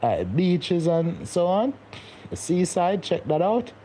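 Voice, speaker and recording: A man's voice: high voice, big pitch swings, takes it slowly, dark tone, slight background noise. A young man, hosting a casual live stream and chatting with viewers, rambling and repeating his words as he goes. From Jamaica. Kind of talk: casual live-stream chat reading comments.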